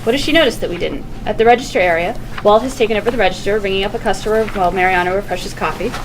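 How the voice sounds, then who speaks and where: A woman's voice: mid-range voice, rapid-fire. A woman reading stage directions aloud as scripted narration at a table read. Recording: noisy recording with room ambience.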